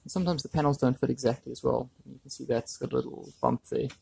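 A man's voice: mid-range voice, steady tone, fast, creaky, warm tone. A man giving casual hands-on workshop commentary, informally thinking aloud as he works. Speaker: DIY video presenter. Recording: background noise, clicks and handling sounds.

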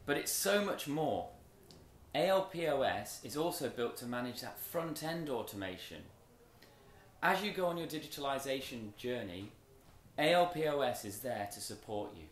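A man with a mid-range voice delivers a polished product presentation from a stage. He speaks in measured, emphatic phrases and leaves deliberate pauses between points. His voice carries a clear roomy echo from the event sound system. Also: mid-range voice, expressive. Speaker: company presenter. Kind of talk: rehearsed product launch presentation. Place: stage in a large event tent with audience.

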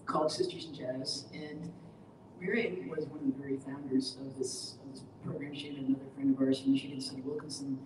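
Lively woman on a roomy, distant panel mic. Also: a bass voice, fairly quick. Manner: animated, enthusiastic, runs on with asides. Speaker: jazz educator and panelist. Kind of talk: panel discussion. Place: large indoor studio room, seated panel. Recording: roomy, distant, noisy background.

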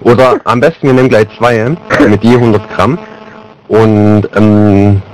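A man's voice: fairly low pitch, steady tone, a little slow, darkish tone. A man making a prank phone call, chatting casually in a straight-faced, hesitant way. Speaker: prank caller. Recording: noisy amateur recording of phone prank.

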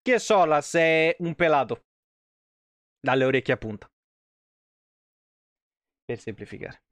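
A man's voice: a little high, expressive, unhurried, a bit bright, dry recording. A man in his thirties from Italy giving casual, foul-mouthed gaming commentary and chatting to viewers. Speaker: live streamer playing a video game. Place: indoor home streaming setup. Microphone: close streaming microphone.

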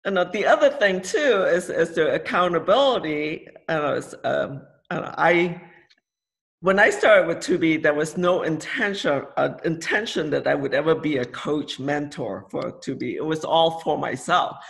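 Woman, health coach, gravelly creak, lively chat, acts out quotes. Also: low voice, moderate pace. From Malaysia. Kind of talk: casual video-call chat.

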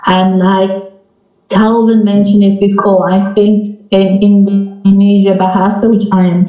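An older woman hosting an English practice group on a video call, chatty and encouraging, sometimes gently interrupting. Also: medium pitch, little pitch movement, measured pace, soft background music. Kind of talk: casual video call chat. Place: small indoor room. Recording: echoey, distant, dull video call audio.

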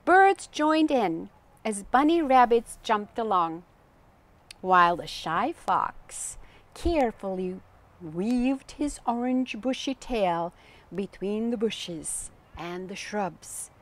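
Older woman, children's storytime host, unhurried slow pace, lively swooping intonation, gentle read-aloud delivery. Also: a little high. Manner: gentle, expressive, addressing young listeners with questions. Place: outdoors on a garden bench.

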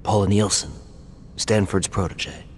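A man's voice: low-pitched, measured pace, a little mellow. A male actor voicing a weary, remorseful former thrall who confides his troubles, in a video game. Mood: wary, evasive. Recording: long reverb, faint noise.

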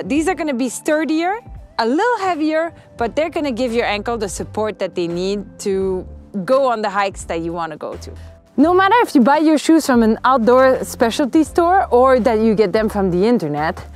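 A woman's voice: fairly high pitch, darkish tone. A young woman in a forest giving friendly, explanatory advice on choosing hiking boots to the camera. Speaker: hiking gear reviewer. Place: outdoors in a forest.

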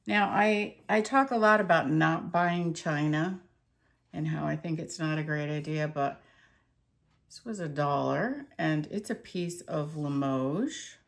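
Slow, gravelly-voiced woman chatting casually. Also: sits low. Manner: chatty, hesitant with many fillers. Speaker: antique reseller showing a thrift haul. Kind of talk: casual show-and-tell vlog.

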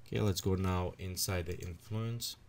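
A man with leading a software tutorial at his computer, thinking aloud as he adjusts settings. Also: sits low, fairly even intonation, a little hiss or hum. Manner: unhurried, thinks aloud while clicking through settings. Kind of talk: screen-recorded software tutorial.

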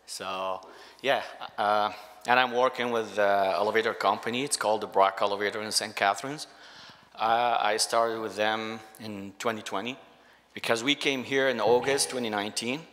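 A middle-aged man with an Iraqi accent and Arabic as his first language, giving an earnest, halting testimony in English. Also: lowish voice, steady tone, a bit bright. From Iraq. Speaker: church guest giving testimony. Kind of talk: spoken personal testimony. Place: church sanctuary stage. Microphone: handheld microphone on a stand. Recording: reverberant church hall sound.

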